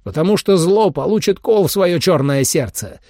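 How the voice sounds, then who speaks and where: A man's voice: high-pitched, very animated, dark tone, dry recording, clean studio sound, pitched above the performer's own voice. A man voicing a veteran monster hunter commander in an audiobook, solemn and emphatic, like an orator. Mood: rousing, fierce. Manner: deliberate, emphatic, rousing oratory.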